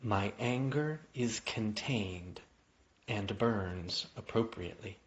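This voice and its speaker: Low-voiced man guiding meditation, muffled recording. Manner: gentle, unhurried phrasing with long pauses, invitational. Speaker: yoga nidra guide. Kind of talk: guided meditation narration. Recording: dull, muffled lo-fi audio.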